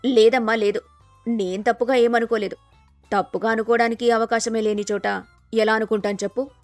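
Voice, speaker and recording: A woman's voice: fairly high pitch, flat delivery, brisk, a little mellow, no room sound, faint music behind, natural voice. A woman voicing a wary, grumbling older village mother-in-law who mutters dismissive asides in a story. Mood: placating.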